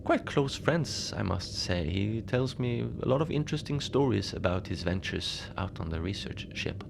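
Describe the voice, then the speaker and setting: Over a clearly audible bed of noise, a man acts out a lonely station keeper in a cozy horror audio drama. His low voice has a lot of gravelly fry. He talks mildly and haltingly, treating strange things as everyday matters. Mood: wistful, musing. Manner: halting, conversational, understated storytelling with small hesitations. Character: lonely, mild, unbothered polar station keeper telling strange tales. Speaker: narrator of a fiction podcast. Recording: steady noise behind the voice.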